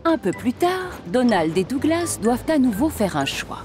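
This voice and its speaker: A woman narrating a cartoon story in an expressive, scripted way. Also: fairly high pitch, normal speed. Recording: cartoon narration with music behind.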